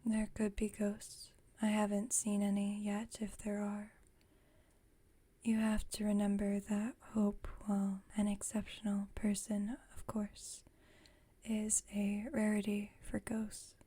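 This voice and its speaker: A woman plays a female vampire roommate in an intimate ASMR roleplay. Her voice is a soft, breathy whisper with a dark, mellow tone. She chats at a slow, unhurried pace with gentle wry exasperation. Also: mid-range voice, completely flat delivery. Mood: explaining. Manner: unhurried, conversational, lightly wry. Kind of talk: ASMR roleplay, intimate one-sided chat.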